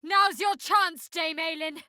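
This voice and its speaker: An actress playing a guarded, sardonic young female cleric of a dark goddess in a video game, speaking with dry, wry, clipped sarcasm. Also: extremely high pitch, slow, dry recording, exaggerated voice. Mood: forceful casting cries. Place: dry studio recording.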